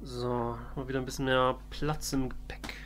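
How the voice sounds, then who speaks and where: A man's voice: medium pitch, level tone, moderate pace, fairly bright tone, a little hiss or hum. A man doing casual, in-character let's play commentary, musing and thinking aloud. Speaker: let's player.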